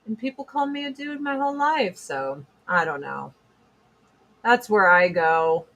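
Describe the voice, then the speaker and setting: A woman in her forties with a deep voice, chatting bluntly and profanely on a casual livestream while doing her makeup. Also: expressive, unhurried, a bit bright. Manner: blunt, profane, sarcastic, reads chat comments aloud. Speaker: livestream host.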